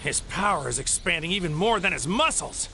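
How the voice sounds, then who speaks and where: A man's voice: on the high side, animated, brisk, gravelly. A man playing a proud, righteous young warrior hero in a dramatic anime video game, with intense delivery. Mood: determined. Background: dramatic music.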